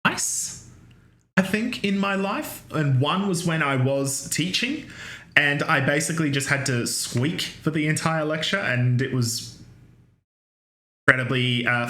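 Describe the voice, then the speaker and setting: A man's voice: medium pitch, pushed and bright, echoey room. A man giving a recorded university anatomy lecture, chatty and full of fillers, with joking asides. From Australia.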